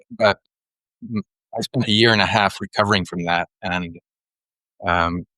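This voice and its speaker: A middle-aged Swedish man talks in accented English, his very low voice carrying a bright, forceful edge. He is reflective and unhurried, often pausing to restart a phrase. The recording is close and dry. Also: dry recording, rough recording. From Sweden. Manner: thoughtful, unhurried, with frequent pauses and restarts. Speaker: guest. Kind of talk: reflective interview conversation.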